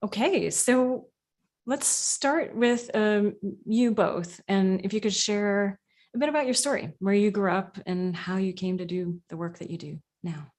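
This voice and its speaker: A woman's voice: medium pitch, a little slow, darkish tone. A woman moderating a panel, casual and a bit stumbling as she gives housekeeping notes. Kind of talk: hosting a panel.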